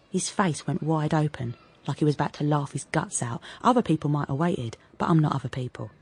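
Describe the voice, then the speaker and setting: Husky, brash young London woman. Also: low-pitched, a little mellow. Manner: cheeky, blunt, streetwise. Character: brash, combative, teasing working-class young woman, ex-soldier. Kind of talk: radio drama monologue and dialogue.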